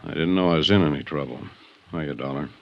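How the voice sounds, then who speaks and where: Very deep-voiced sardonic ex-con. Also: male voice, fast talker, creaky. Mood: deadpan, evasive. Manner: laconic, deadpan. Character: self-contained, sardonic male ex-convict, laconic and unflappable. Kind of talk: 1950s radio drama acting. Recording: dull vintage 1950s radio recording.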